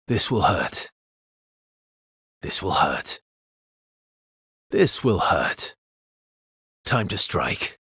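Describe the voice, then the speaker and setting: Battle-hardened immortal swordsman for a video game: lowish, deliberate, intense, breathy and husky, terse dramatic combat calls. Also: sharp tone, no room sound, studio quality, full character voice. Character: weary, battle-hardened immortal male swordsman, grimly determined.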